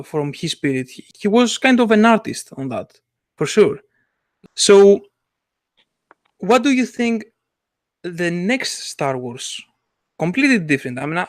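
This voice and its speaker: A man's voice: on the high side, no room sound, clean studio sound. A man interviewing in English as a second language, hesitant and searching for words. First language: not English. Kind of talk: casual interview.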